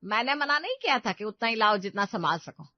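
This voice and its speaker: A woman's voice: fairly high pitch, lively intonation, fast talker, no echo, muffled recording, close to an everyday voice. A woman voicing an older mother-in-law, an emphatic, nagging family matriarch in a moral story. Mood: scolding.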